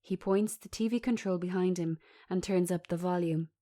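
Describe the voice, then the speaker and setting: A woman reading a script aloud as narrator, giving the stage directions in a measured, descriptive manner. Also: lowish voice, warm tone.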